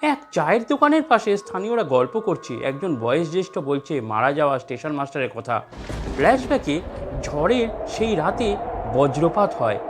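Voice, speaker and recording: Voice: medium pitch, sing-song, moderate pace, background noise. A narrator reading a scripted horror story.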